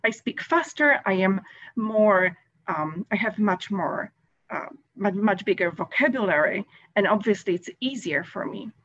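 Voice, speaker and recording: A woman's voice: mid-range voice, lively intonation, unhurried, fairly bright tone, slightly rough. A middle-aged woman from Poland with a Polish accent, a Polish native speaker joining a video call and talking thoughtfully, with some hesitation. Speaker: caller. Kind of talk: casual chat. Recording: dull lo-fi call audio.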